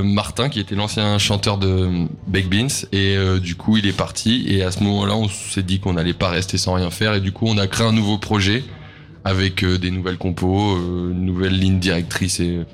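A young man from a band, answering interview questions in a relaxed way, full of fillers. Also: low-pitched, moderate pace. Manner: relaxed, loose, lots of fillers. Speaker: band member.

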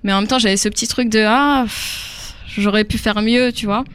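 A woman's voice: a little high, steady tone, normal speed, fairly bright tone, clean tone, no echo. A young woman singer from Africa, answering interview questions casually with many fillers and easy laughs.

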